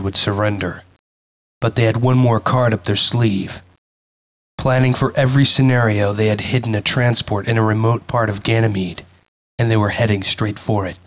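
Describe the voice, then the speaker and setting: A grave male soldier played by an actor tells the story of a past war in a low voice. His delivery is solemn and measured, like scripted game cutscene narration. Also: close to an everyday voice.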